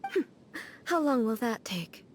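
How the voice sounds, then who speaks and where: An ageless ruling goddess in a video game. Her voice sits a little high, formal and restrained, with solemn reserve, over faint background noise. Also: big pitch swings, slow pace. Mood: guarded. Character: solemn, reserved ageless female ruler deity. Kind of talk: scripted game dialogue.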